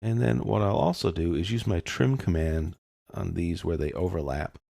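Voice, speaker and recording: A man talks through a software lesson in a very low voice. There is plenty of fry in it, and the sound is dry and close. He explains each step informally, as an instructor would. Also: normal speed, dry recording. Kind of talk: step-by-step screencast lesson, casual.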